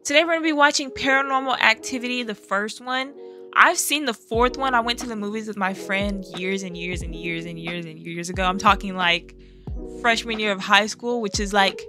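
An American woman in her twenties chatting casually as a reaction host, playful, animated and slangy, close on the microphone. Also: mid-range voice, a little fast, music in the background. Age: 20s. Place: small bedroom studio, indoors. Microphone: close boom-arm microphone.

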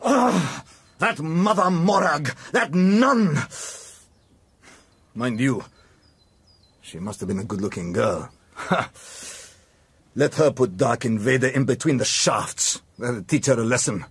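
An actor playing a proud, tight-fisted businessman in a radio drama, husky and pompous, with an indignant manner. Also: mid-range voice, animated, slow pace, long pauses, no room sound, full character voice. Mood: grumbling.

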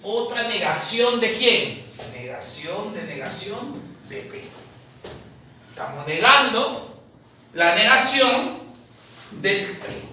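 A man's voice: high voice, takes it slowly, long pauses. A man, teaching logic at a chalkboard, firing questions at students and answering them himself. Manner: lively, asks questions and answers them himself, calls the viewer "papi". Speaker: math tutor at an admissions academy. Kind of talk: chalkboard lesson solving exam problems. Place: classroom at a chalkboard, indoors. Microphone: far camera. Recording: very echoey classroom from far off, faint noise.

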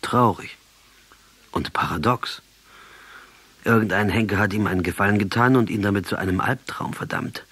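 Husky lowish guerrilla leader in a radio play, dry close sound, sardonic and defiant. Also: male voice, moderate pace, long pauses, a bit bright, no room sound. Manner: sardonic, rhetorical. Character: defiant, sardonic Argentine guerrilla revolutionary in his late thirties. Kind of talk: radio drama dialogue.

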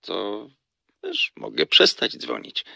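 An actor playing a courteous, reserved, easily wounded gentleman in an audio drama, speaking in a polite, measured way. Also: fairly low pitch, wildly swooping pitch, gravelly, dry recording, full character voice. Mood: hurt, restrained. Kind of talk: audiobook radio drama acting.

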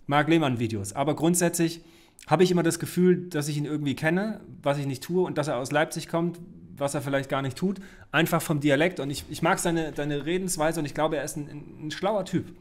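A man in his forties chats loosely from his home studio, voice sitting a little high for a man. He trips over words and corrects himself as he goes, casual and unscripted, close on a studio microphone. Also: a little fast. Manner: rambling, chatty, frequent self-corrections. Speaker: reaction video host. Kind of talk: casual reaction commentary. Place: home studio with desk and shelves. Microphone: studio mic on desk, headphones.

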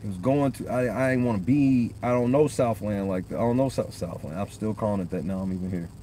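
A man's voice: lowish voice, fast, warm tone, a little hiss or hum. A man in his thirties hosting a livestream, talking loosely and profanely in casual chat from a parked car at night into a phone on the dashboard. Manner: loose, profane, rambling talk. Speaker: livestream host.

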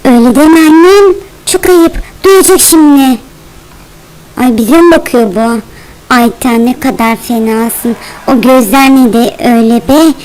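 A woman's voice: high-pitched, a little slow, darkish tone, low-quality recording. A woman voicing a fussy, doting spider mother in a children's cartoon, chattering and chiding with lots of exclamations. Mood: scolding.

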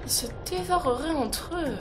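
Woman playing a hot-headed rude rebel girl, high voice, blunt as anything, audio drama. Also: normal speed, over music, lightly acted. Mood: combative. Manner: blunt, sharp-tongued, casual.

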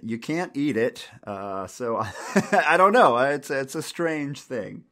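A man who hosts an interview podcast and teaches at a university, speaking in a measured way and asking plain questions. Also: mid-range voice, lively intonation, sharp tone. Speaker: podcast host. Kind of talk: hosting an interview.

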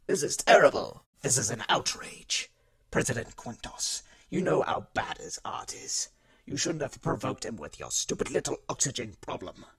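Man doing a gravelly, swooping alien CEO, proud and grumpy, fan audio drama. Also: mid-range voice, big pitch swings, normal speed, a bit bright. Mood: indignant. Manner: blunt, clipped broken phrasing. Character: alien male company boss, proud and grumpy.

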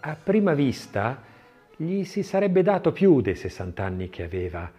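A man narrating a scripted audiobook in an expressive, literary, dramatic reading style. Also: on the high side, moderate pace, faint music behind.

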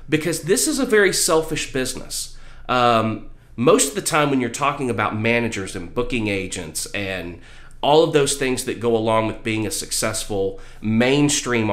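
A man's voice: medium pitch, fairly bright tone. A middle-aged man giving an emphatic motivational talk to camera, repeating phrases to stress his points. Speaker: vlogger giving career advice. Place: small room indoors. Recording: roomy, echoey indoor sound.

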